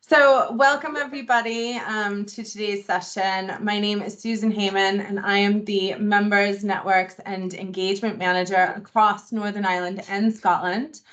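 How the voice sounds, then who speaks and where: A woman's voice: mid-range voice, fairly even intonation, normal speed, few pauses, fairly bright tone. A middle-aged woman from Boston with a Boston accent, giving a friendly, conversational induction presentation over a video call and using plenty of ums. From Boston, USA. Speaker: membership engagement manager presenting a webinar. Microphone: video call microphone.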